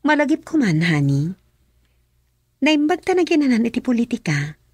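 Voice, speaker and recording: Inquisitive wife, radio serial. Breathy, animated, close dry sound. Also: female voice, fairly high pitch, normal speed, no room sound. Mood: curious. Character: inquisitive wife chatting with her politician husband. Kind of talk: Ilocano radio drama acting.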